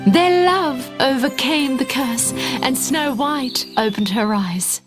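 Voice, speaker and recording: A woman narrating a scripted children's fairy tale in an expressive storytelling manner. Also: sits high, takes it slowly, music in the background.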